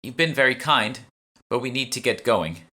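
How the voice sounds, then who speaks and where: A quick-thinking young heroine of an audiobook, voiced by a man, speaks in urgent, halting bursts. The pitch is lifted above the narration, with a bright, pressing tone. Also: lively intonation. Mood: apologetic. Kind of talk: audiobook character dialogue.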